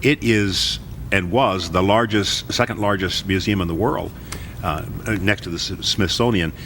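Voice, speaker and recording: Deep-voiced man hosting a faith talk show. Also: a little slow, slight background noise. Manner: earnest, warmly enthusiastic questioning. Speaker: television host.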